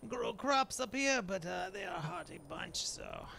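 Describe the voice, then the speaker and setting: A man voicing a proud, stubborn male dark elf shaman in a fantasy game roleplay, musing haltingly in formal, old-fashioned phrasing. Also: sits high, wildly swooping pitch, creaky, noisy background, full character voice. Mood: amused, calm. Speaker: gameplay host roleplaying in character. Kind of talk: improvised in-character fantasy game narration.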